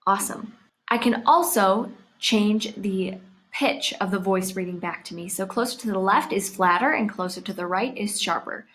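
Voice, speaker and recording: A woman narrating a scripted software tutorial, explaining each step clearly as the presenter. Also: mid-range voice, moderate pace.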